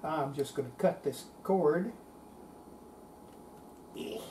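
Low, mellow-voiced older woman. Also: takes it slowly, a little hiss or hum. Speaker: hobbyist restorer presenting a repair video. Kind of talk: casual hands-on repair narration. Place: home electronics workshop, indoors.

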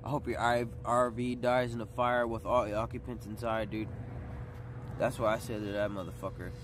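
A man's voice: medium pitch, little pitch movement, normal speed. A young adult man livestreaming on a phone, ranting in a profane, combative, aggrieved way. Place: outdoors on a city street at night. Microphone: phone at arm's length. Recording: noisy outdoor phone livestream, street noise. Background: street and vehicle noise.

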